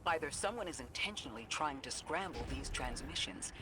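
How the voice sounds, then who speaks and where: A man's voice: mid-range voice, animated, a little fast, background noise, lightly acted. A man voicing a composed, analytical mission support officer in a video game, speaking formally and precisely. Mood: analytical, puzzled. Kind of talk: scripted video game acting.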